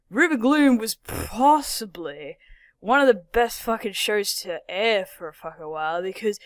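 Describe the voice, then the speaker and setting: A woman giving a casual, rambling video commentary on a cartoon, swearing constantly and animated as she talks. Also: on the high side, no echo. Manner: profane, animated, keeps slipping into impressions. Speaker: YouTuber reviewing a childhood cartoon.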